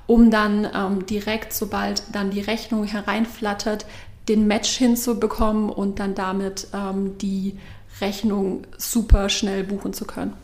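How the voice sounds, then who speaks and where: A woman in her thirties from Swabia, a startup co-founder explaining her work at length in a measured way during an interview. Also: medium pitch, flat delivery, darkish tone, reverberant. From Swabia, Germany. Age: 30s. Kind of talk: interview answers.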